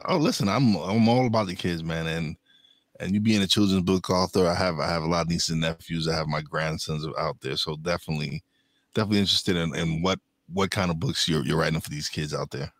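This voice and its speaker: A man's voice: sits low, fast, no room sound. A man hosting a casual podcast interview, speaking in a loose, eager way and repeating his words. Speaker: podcast host.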